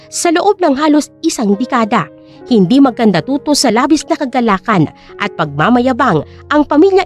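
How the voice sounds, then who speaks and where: High-voiced woman narrating a drama. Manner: steady, storytelling delivery. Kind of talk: scripted audio drama narration.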